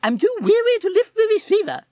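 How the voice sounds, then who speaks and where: Fweddy, a foppish upper-class parrot. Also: male voice, very high-pitched, wildly swooping pitch, brisk, a little mellow, dry recording, clean studio sound, pitched above the performer's own voice, full character voice. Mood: weary. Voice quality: affected plummy drawl.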